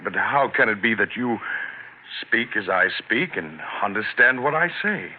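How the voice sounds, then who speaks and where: A man's voice: lowish voice, expressive, normal speed, slight background noise, natural voice. A man playing a stammering, terrified earthman. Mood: frightened. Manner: stammering, frightened, pleading. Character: terrified earthman named Russell. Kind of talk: 1970s radio drama acting. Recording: tinny old radio recording.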